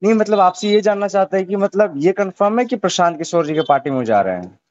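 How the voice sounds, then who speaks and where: Man, interviewer, somewhat high voice, deferential, persistent questioning, dull phone recording. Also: animated, fast talker, a little mellow, no echo. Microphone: phone call. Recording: muffled low-bandwidth phone call recording.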